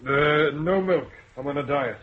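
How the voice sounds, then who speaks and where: An actor playing a grumbling, impatient senior police officer in a radio drama. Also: male voice, a little high, measured pace, background noise, natural voice. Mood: gruff. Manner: gruff, grumbling. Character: gruff impatient senior male police chief. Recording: muffled old radio broadcast.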